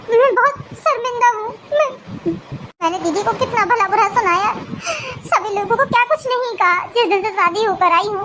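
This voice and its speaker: A woman voicing a distraught, ill young married woman in a melodramatic family cartoon, pleading and halting as she repeats her words tearfully. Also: extremely high pitch, background noise.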